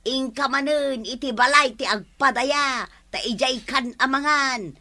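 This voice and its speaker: A sharp-tongued village wife in an Ilocano radio comedy. Her voice is slightly high and brightly forceful. She nags with long-suffering sarcasm in an Ilocano accent. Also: measured pace. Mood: sarcastic, nagging. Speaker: actress in radio comedy drama.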